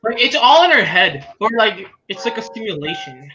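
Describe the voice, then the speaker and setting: A man's voice: high-pitched, big pitch swings, normal speed, pushed and bright, lo-fi sound, faint music behind. A young man from Pakistan, a guest in a casual livestream chat, talking in a laid-back way full of fillers.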